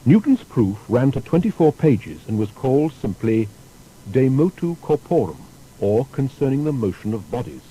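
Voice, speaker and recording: A man's voice: medium pitch, dark tone, slight background noise, low-quality recording. A man reading a scripted biographical narration in a formal, storytelling manner. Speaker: documentary narrator.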